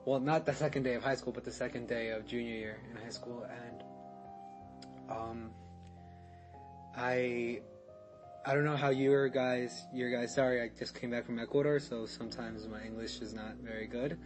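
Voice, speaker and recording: A man's voice: medium pitch, fairly even intonation, moderate pace, music in the background. A young man guesting on a podcast, telling a story in casual chat, rambling, restarting and swearing, with laughs mixed in.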